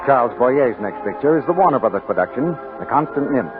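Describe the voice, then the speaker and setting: Tinny old radio broadcast, music behind, male announcer, very animated pitch, formal 1940s style. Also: fairly quick.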